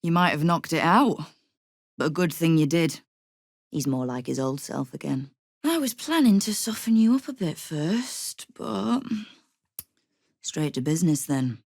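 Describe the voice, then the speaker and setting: Game role: hard-bitten female guild boss. Low voice, sardonic and in command, very dry studio sound. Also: creaky, dry recording, heavily acted. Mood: grim, businesslike. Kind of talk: video game character dialogue.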